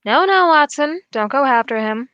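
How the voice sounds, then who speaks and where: A woman plays a shrewd gentleman detective in an old-style radio drama. The voice is high and recorded close and dry. The delivery is composed and formal, setting out each point in measured phrases. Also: animated, no room sound, highs cut off. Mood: calm, grave.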